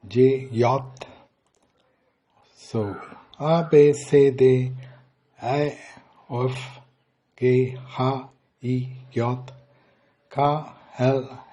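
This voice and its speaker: A male language teacher with a mellow, slightly rough voice takes a beginner lesson at a slow pace. He sounds things out deliberately, piece by piece, in an instructional style. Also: medium pitch.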